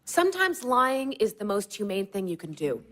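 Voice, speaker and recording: A woman's voice: medium pitch, big pitch swings, normal speed, noisy background, close to an everyday voice. An actress playing a blunt, pragmatic young woman in an audio play, giving orders in a matter-of-fact way. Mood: firm, coaching. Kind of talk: naturalistic audio play dialogue.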